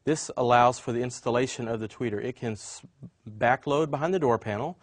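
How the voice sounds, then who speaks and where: A man's voice: mid-range voice, brisk, no room sound, studio quality. A man presenting an instructional product demonstration, explaining things step by step as a demonstrator.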